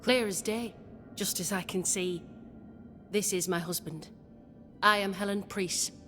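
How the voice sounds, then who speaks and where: An actress playing a proud, devoted wife who leads settlers in a video game, speaking formally and solemnly. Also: female voice, medium pitch, fairly even intonation, measured pace, fairly bright tone, soft background music, lightly acted. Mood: grateful. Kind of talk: video game cutscene acting. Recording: long hall-like reverb.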